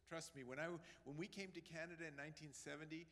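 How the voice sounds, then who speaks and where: A man in his sixties from Pakistan preaches an earnest sermon, talking straight to his congregation. His voice sits a little high for a man. The sound carries a long echo from a church hall. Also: fairly even intonation, fast, a bit bright. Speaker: pastor.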